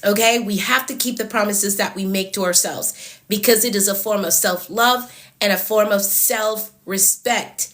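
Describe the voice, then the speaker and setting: A woman's voice: mid-range voice, moderate pace, a bit bright. A woman speaks with a blocked nose and a voice that is starting to wear out. She coaches live viewers, calls out their names and repeats her encouragements. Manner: encouraging, repeats phrases, greets viewers by name. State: congested and losing her voice. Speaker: productivity coach hosting a livestream. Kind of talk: live stream chat with viewers.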